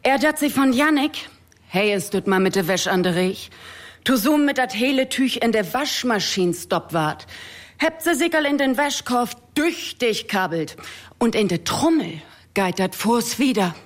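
A woman's voice: fairly high pitch, lively intonation, a little slow. A woman with a North German accent reading a comic story aloud in a performed style.

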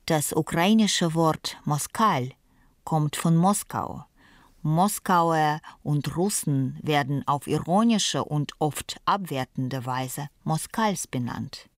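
Woman narrating a documentary, slow and measured, deep voice, dry close sound. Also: no room sound. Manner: measured, even delivery.

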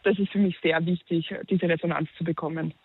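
A young woman from Upper Austria with an Upper Austrian accent, a musician talking in a phone interview, pausing thoughtfully to find her words. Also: fairly low pitch, steady tone, husky. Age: young adult. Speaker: musician guest. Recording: dry tinny phone line.

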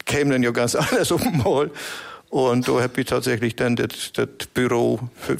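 A northern German man speaking as a talk show guest, with a gravelly, creaky edge and a middle pitch. He talks in a casual, halting way, often repeating words before he finds the right one. His delivery stays relaxed and conversational throughout. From northern Germany, Baltic coast near Kiel. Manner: hesitant, repeats words while searching. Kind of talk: casual chat.

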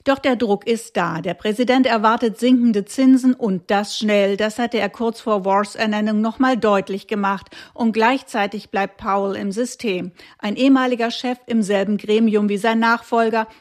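A woman correspondent narrating a scripted radio report with clear, brisk delivery. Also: medium pitch, steady tone.

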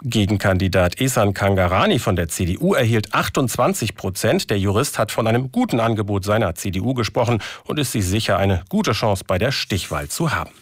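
A man reading regional radio news in a measured, formal studio delivery. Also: on the low side, animated, dry recording.

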